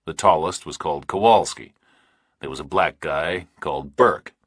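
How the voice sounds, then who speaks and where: A man's voice: very deep voice, normal speed, fairly bright tone, slightly rough, dry recording, studio quality. A man narrating a thriller audiobook in a scripted, storytelling style.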